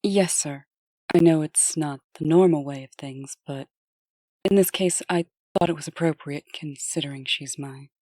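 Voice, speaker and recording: A woman playing a composed, dutiful, dryly candid deputy in a comic dub, with measured, formal delivery. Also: low-pitched, dark tone, no room sound. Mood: respectful.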